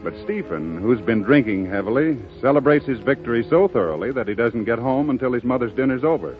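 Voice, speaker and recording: A man's voice: medium pitch, expressive, brisk, phone-quality sound. A man delivering scripted narration as the narrator of a 1930s radio drama. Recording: thin 1930s radio recording with music. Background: music.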